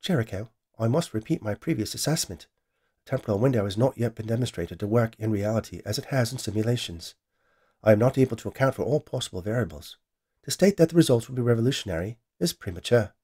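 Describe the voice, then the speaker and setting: A man voicing a dispassionate, precise female AI lab assistant with an Australian accent and formal, measured delivery in a science fiction story. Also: mid-range voice, animated, dark tone, breathy edge.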